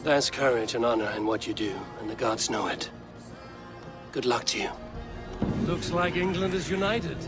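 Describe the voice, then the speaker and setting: An actor playing a kindly, wry Viking warrior in a video game, speaking in a measured way. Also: male voice, mid-range voice, loud music behind the voice, close to an everyday voice. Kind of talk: video game cutscene acting.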